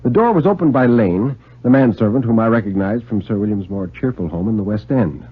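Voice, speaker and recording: Male storyteller, husky, lively swooping intonation, deliberate literary phrasing, 1950s radio drama reading, muffled narrow-band sound. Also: fairly low pitch.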